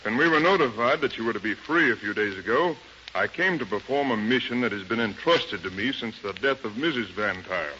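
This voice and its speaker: An actor playing a formal, businesslike attorney, courteous but firm and measured, in a 1930s radio drama. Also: male voice, lowish voice, lively intonation, highs cut off. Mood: courteous, official. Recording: bright, noisy, band-limited 1930s radio recording.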